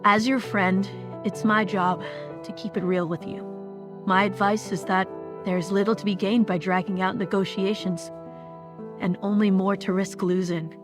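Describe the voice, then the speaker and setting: A woman playing a frank, supportive idol friend in an animated drama. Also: medium pitch, moderate pace, close to an everyday voice. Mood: frank, reassuring. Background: music.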